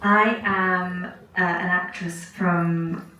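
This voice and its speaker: A young woman from Belgium asking a question from the audience, halting and earnest. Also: on the low side, little pitch movement, pushed and bright, recorded from a distance, a little hiss or hum. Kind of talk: public Q&A question. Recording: very echoey hall from afar.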